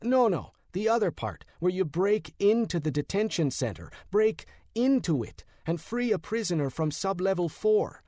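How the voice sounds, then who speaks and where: A man voicing a hot-tempered, sarcastic male cop in an audiobook, loud and incredulous. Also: high voice, big pitch swings, normal speed, hardly any pauses, no room sound, clean studio sound, pitched above the performer's own voice. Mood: incredulous yelling. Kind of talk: audiobook character voice.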